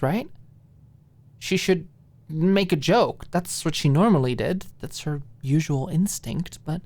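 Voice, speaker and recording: Female narrator for ASMR audiobook fiction: low register, unhurried pace, expressive, deliberate phrasing with dramatic pauses. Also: no room sound. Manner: dramatic, deliberate pauses, drops at times into breathy whisper.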